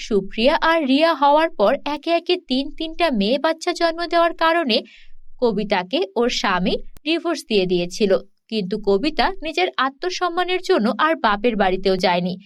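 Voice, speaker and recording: A woman narrating a scripted children's cartoon story. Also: a little high.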